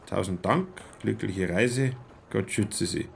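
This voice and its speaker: Smug young german salesman, creaky voice, stilted and proper, faint noise behind, radio drama. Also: fairly low pitch, slow, heavily acted. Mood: studious, reciting. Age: young adult. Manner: stilted, pedantically correct speech. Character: self-important young German salesman travelling abroad. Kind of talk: radio play acting.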